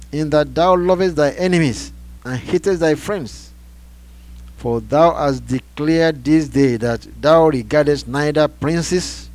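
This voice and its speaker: A man voicing a stern army commander in a scripture reading, scolding his king in loud, forceful declamation. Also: mid-range voice, pitched above the performer's own voice, lightly acted.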